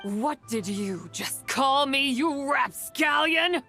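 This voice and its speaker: A woman's voice: high voice, animated, takes it slowly, sharp tone, soft background music, exaggerated voice. A woman playing a proud, stern female immortal who lectures in lofty, archaic speech in a video game. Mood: indignant, imperious. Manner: haughty, archaic, lecturing diction. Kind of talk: game voice acting.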